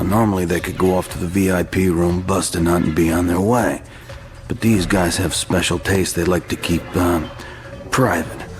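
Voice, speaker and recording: An actor playing a sleazy strip club pimp in a video game, talking in slick, crude sales patter. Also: male voice, sits low, natural voice. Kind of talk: video game cutscene acting.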